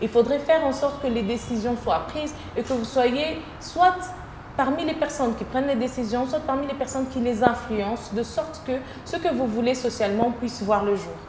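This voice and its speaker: A woman's voice: on the high side, normal speed. A woman with a Congolese French accent, answering as an interview guest and repeating phrases for emphasis. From Democratic Republic of the Congo. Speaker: interview guest, social entrepreneur. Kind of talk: conversational interview. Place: indoors at own premises. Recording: roomy echo with background noise.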